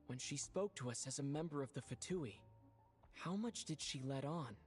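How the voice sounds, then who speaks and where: A man playing a calm, kind young adventurer in a video game, speaking in a measured, reflective way. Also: medium pitch, soft background music, close to an everyday voice. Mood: pensive. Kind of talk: video game character dialogue.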